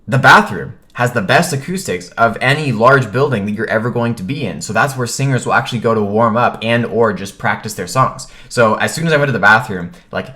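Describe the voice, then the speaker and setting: A man's voice: medium pitch, expressive, a little fast, few pauses, bright, forceful tone. An American man vlogging in a casual, conversational way, telling a personal story in informal, clipped sentences.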